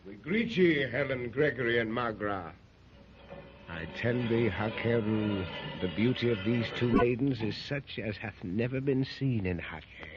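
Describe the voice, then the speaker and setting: A man's voice: medium pitch, animated, a little slow, noisy background, close to an everyday voice. A man acts out a haughty and menacing king of a lost city. He speaks in grand, archaic phrases with the theatrical weight of 1930s radio drama. The sound is dull and muffled, like an old broadcast. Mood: grandly pompous. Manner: pompous, archaic royal speech. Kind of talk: 1930s radio serial acting.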